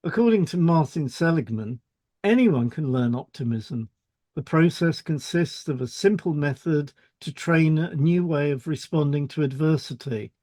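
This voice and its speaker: A man's voice: on the high side, expressive, normal speed, warm tone, no room sound, clean studio sound. A man reading a scripted explanatory podcast as co-host.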